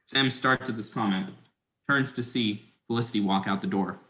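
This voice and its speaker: A man's voice: fairly low pitch, moderate pace, sharp tone. A young adult man reading scripted stage directions as a narrator. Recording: echoey, reverberant room.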